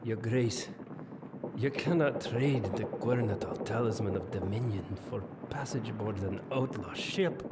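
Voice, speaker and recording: A man's voice: lowish voice, measured pace, very noisy, natural voice. A man playing a loyal, ailing knight who speaks in a formal, courtly, pleading way in a fantasy audio drama. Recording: loud ship ambience behind voice.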